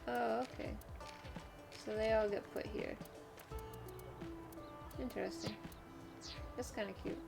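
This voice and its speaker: A woman's voice: mid-range voice, fairly even intonation, slow, creaky, pauses often, over music. A woman chatting casually as a video game streamer, musing and often letting thoughts trail off.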